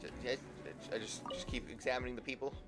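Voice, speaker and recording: Halting male game streamer over music. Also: medium pitch, flat delivery. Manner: halting, repeats words while thinking aloud. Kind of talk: casual live gameplay commentary.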